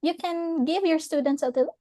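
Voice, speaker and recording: High-voiced woman with a Philippine English accent. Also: fairly quick, mellow, dry recording, studio quality. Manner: thoughtful, with frequent fillers and restarts. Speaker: webinar host. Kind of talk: reflective chat with a guest.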